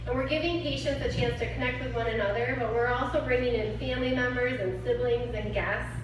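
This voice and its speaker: A woman giving an earnest, storytelling speech as head of an arts nonprofit at a fundraising event. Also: on the high side. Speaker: executive director of an arts nonprofit. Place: large event hall. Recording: echoey hall, distant from the mic, noisy.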